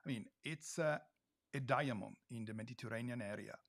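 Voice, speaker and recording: A man, a winery guest in a casual interview chat, earnest and often pausing to search for words. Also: medium pitch, dry recording.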